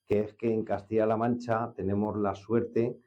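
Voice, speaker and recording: A man with a Spanish accent hosts an informal interview. His voice sits fairly low and is mellow in tone. He talks conversationally, on familiar terms with his guest, through slightly dull sound. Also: flat delivery, moderate pace. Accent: Spain. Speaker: interview host.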